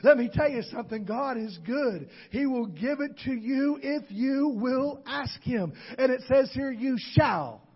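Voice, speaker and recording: A man preaching a sermon, shouting with call-and-response to the congregation. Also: very high voice, expressive, a little slow, few pauses, slightly rough, muffled recording. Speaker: pastor. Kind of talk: Pentecostal sermon.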